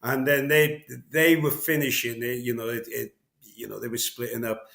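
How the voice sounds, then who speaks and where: A man's voice: mid-range voice, fairly even intonation, moderate pace, vocal fry, a bit bright, reverberant. A man in his sixties from North Wales, a guest drummer in a casual interview, talking in a meandering way full of fillers.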